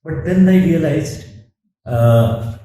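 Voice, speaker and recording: An older man with an Indian English accent whose first language is Urdu, answering interview questions in a deliberate, halting way with many fillers. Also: a little high, lively intonation, warm tone, very echoey, recorded from a distance. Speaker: theatre director and voice artist.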